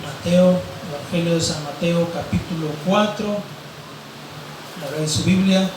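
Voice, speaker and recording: A hoarse male pastor preaches in a rambling way, his fairly high voice worn thin. He sounds far from the microphone, and his words ring through a very echoey church hall. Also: unhurried, background noise. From Mexico, grew up in Mazatlán. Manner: rambling, fills with "eh" and "¿verdad?". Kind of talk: sermon.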